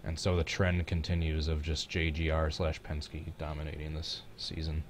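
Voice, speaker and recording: A man's voice: rumbling low, monotone, normal speed, background noise. A man with a Midwestern American accent, chatting casually and reacting live to a race as a stream host.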